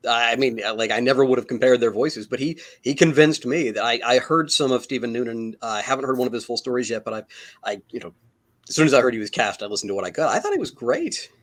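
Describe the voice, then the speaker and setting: A man's voice: medium pitch, animated, fast talker. A man on a panel chatting informally and giving his opinions as a fan. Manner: informal, conversational. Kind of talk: casual, opinionated fan chat.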